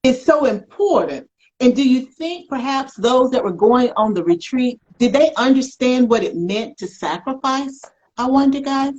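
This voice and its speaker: A woman hosting a conversational faith-themed interview, speaking in an emphatic, reflective way with drawn-out phrases. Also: high voice, lively intonation, darkish tone, dull audio. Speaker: talk show host.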